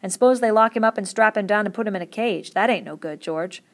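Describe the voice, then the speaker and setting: A woman voicing a calm, steady ranch leader who speaks quietly in a rural drawl. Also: medium pitch, fast, lightly acted. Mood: somber.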